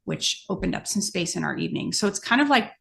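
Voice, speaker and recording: A middle-aged woman with an American accent talks in a fairly low voice with a lot of creak. Her delivery is conversational and plain-spoken, laying things out step by step as in a casual podcast. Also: lively intonation, fast, fairly bright tone. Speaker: podcast guest, amateur horse exhibitor. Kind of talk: casual explanatory podcast chat.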